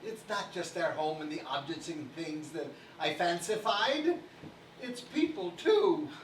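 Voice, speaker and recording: A man playing a gentle, guilt-ridden old father in a stage play, hesitant and apologetic, recorded far off with noise. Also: fairly high pitch, lively intonation, a little slow. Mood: troubled. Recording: echoey stage from far off, noisy.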